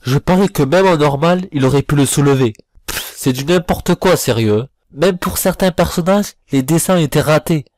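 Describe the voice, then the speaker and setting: A man's voice: on the high side, moderate pace, a little mellow, slight background noise. A man reviewing in a voice-over, giving informal critical commentary in a blunt, slangy, complaining manner. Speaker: video reviewer. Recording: voice-over with music behind.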